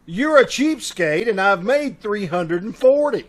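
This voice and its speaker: A man acts out a stubborn lot salesman from an old radio comedy. His high-set voice moves freely up and down as he gives curt, sardonic retorts. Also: animated, unhurried. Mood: combative. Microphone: video call.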